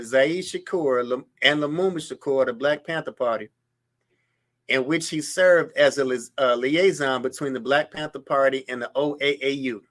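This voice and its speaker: A man's voice: on the high side, fairly bright tone. A man hosting a history show, reading a biography aloud and breaking off into incredulous, mocking commentary on clips. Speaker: show host.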